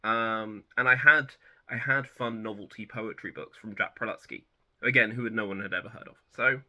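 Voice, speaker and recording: A man in his thirties hosting a book channel, chatting casually about personal favourites in a relaxed, rambling way that often corrects itself. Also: on the low side, moderate pace, highs cut off. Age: 30s. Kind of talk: casual personal chat to camera.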